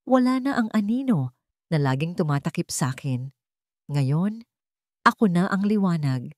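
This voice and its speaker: A woman's voice: on the low side, expressive, unhurried, no room sound, studio quality. A woman with a Filipino accent playing a vain, jealous older sister in an audiobook, falsely sweet and coldly controlled. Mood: smug, triumphant. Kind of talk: audiobook villain monologue.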